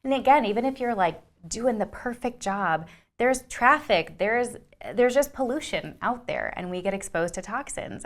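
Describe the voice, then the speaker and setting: Woman, podcast guest, earnest, explanatory, low pitch, conversational. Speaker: wellness guest. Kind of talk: casual conversation.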